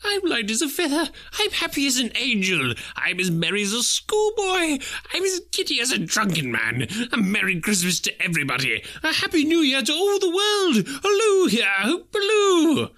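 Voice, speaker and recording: Man reading as an elderly miser, high and breathless, wildly swinging pitch, excitable, storybook. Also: normal speed, hardly any pauses, heavily acted. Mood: giddy. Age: older. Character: old miser turned giddy and overjoyed.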